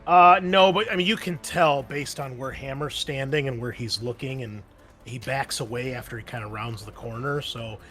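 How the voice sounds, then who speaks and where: Male game master, mid-pitched voice, casual and explanatory, music underneath. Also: normal speed, a bit bright. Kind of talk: casual tabletop game narration.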